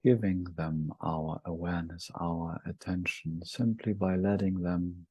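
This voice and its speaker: A man leading a guided meditation, speaking gently in short, halting phrases with long pauses between them. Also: sits low, steady tone, breathy edge, no room sound, lo-fi sound.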